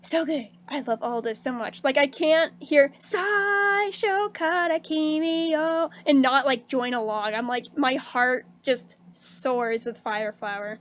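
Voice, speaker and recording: A woman streaming casually, chatting with viewers and laughing often, with a slightly hoarse voice. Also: high-pitched, unhurried, hardly any pauses, a bit bright. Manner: bubbly and chatty, laughs often, many fillers like "um" and "like". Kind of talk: casual stream chat reading out viewer messages.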